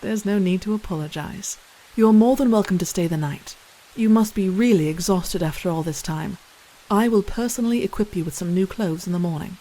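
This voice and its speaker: A woman plays a young princess in an audio drama, kindly and self-assured. Her voice sits at a medium pitch, and she speaks in a proper, gracious way. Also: fairly quick, dark tone, close to an everyday voice, low-quality recording. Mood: apologetic, welcoming. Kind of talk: audio play acting.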